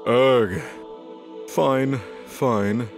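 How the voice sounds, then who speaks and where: Divine emperor played by a man: mid-pitched, snide and dismissive, sighing theatrically, music underneath. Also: fairly even intonation, drawn-out words, vocal fry. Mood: bored, flippant. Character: haughty, flippant male god emperor, bored and scornful. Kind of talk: dramatized audio fiction.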